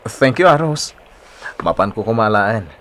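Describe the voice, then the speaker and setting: A man's voice: lowish voice, expressive, a little slow, fairly bright tone, a little hiss or hum. An actor with an Ilocano Filipino accent playing a bashful young man secretly in love, in radio drama style. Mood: friendly, bashful.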